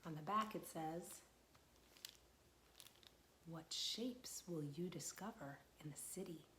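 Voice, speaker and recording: Sing-song storytime woman, soft whispers. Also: low voice, slow, slight background noise. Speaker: children's librarian. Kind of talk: virtual storytime for young children.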